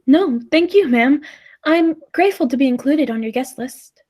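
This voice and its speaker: A woman's voice: high-pitched, expressive, normal speed, a little mellow. A woman playing a polite, timid and skeptical dinner guest in a 1940s-style radio play, hesitant and deferential, given to exclaiming.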